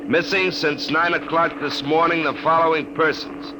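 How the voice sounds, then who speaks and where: An actor playing an excitable male radio operator in a code room, brash and slangy, in 1950s radio drama style. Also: fairly high pitch, moderate pace, close to an everyday voice. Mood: official. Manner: brash, slangy, easily rattled. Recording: noisy 1950 radio broadcast.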